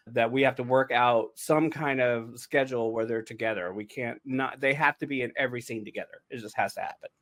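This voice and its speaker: A man chatting casually and effusively, with emphatic phrasing, as one of the writers on a podcast. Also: medium pitch. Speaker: audio drama writer and podcast host. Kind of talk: casual chat.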